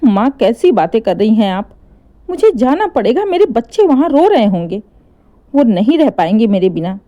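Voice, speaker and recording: A woman's voice: fairly high pitch, sing-song, normal speed, dark tone, dull audio. A woman voicing a distraught young mother pleading anxiously for her children in an emotional story.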